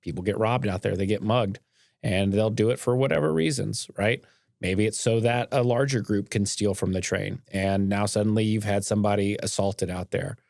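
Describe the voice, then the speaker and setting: A man with a low voice hosts a podcast in an earnest, conversational way. His talk is casual, and the recording is close and dry, with very little room sound. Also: moderate pace, vocal fry, darkish tone, no echo. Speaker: podcast host, railroad company boss.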